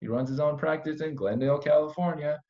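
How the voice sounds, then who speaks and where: A man's voice: a little high, fast talker, dark tone. A man hosting a casual conversational podcast, rambling with playful, mock-serious asides. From Iran. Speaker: podcast host. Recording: dull, lo-fi podcast audio.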